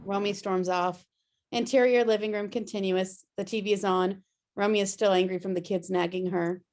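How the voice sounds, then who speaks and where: A woman's voice: mid-range voice, fairly even intonation, normal speed, darkish tone. A woman reading out stage directions as scripted narration at a table read.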